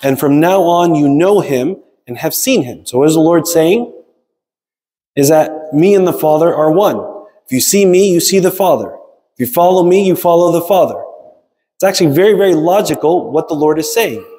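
A man's voice: on the high side, animated, normal speed, warm tone. A man preaching a sermon in a conversational, explanatory way, often checking in with listeners with short tags. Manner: explanatory, frequent checking tags like "right?" and "okay?". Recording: long reverb of a large hall.

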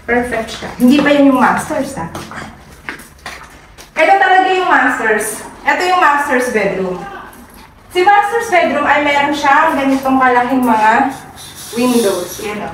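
A woman's voice: high voice, animated, normal speed, long pauses, a bit bright, recorded from a distance. Upbeat Filipino woman touring a house. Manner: upbeat salesy, mixes English and Tagalog. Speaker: real estate agent. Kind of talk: property tour vlog. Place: inside a show house and outside on a street. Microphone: handheld camera held by the speaker. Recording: echoey rooms, off-mic, street noise.

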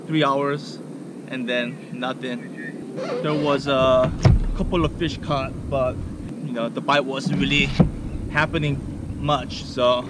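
A young man casually talking through his fishing trip from a kayak at sea, getting excited when he hooks a fish. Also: mid-range voice, slow pace, long pauses. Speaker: fishing vlogger. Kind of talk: casual running commentary. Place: kayak on open sea, outdoors. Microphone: action camera mounted on kayak. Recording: wind and water noise outdoors.

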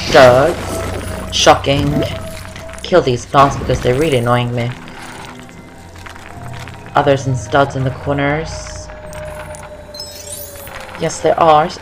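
A young woman talks through a video game. She is chatty and rambling, often repeating herself, with music playing clearly behind her. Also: rumbling low, poor audio. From Norway. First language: Norwegian. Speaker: gaming video host. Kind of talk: casual game walkthrough commentary.

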